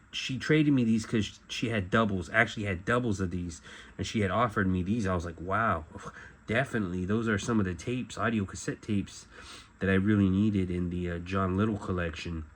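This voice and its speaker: A middle-aged man giving a casual show-and-tell as a collector, talking in a halting, rambling way and often correcting himself. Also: lowish voice. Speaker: collector presenting memorabilia. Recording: clear background noise.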